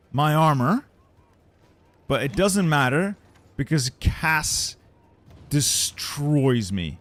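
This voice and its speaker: Exasperated Dutch man muttering over a game. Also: fairly high pitch. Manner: frustrated, swearing, muttering to himself. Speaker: gaming YouTuber. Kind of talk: strategy game commentary.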